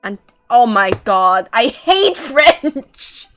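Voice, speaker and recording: A woman's voice: on the high side, very animated, drawn-out words, a bit bright, soft background music. A woman streamer giving casual, offhand live reactions while playing a game.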